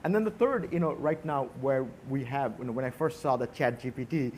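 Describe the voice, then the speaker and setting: A man with a Bangladeshi accent talks as a conference panelist. His voice sits at a middle pitch, and he reflects through personal anecdotes. There is light noise behind him. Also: brisk, darkish tone, rough recording.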